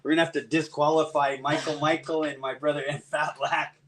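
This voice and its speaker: A man's voice: a little high, flat delivery, fairly quick, a bit bright, slight background noise. A man hosting a live custom paint demo in his shop, folksy and easygoing, thinking aloud while he works.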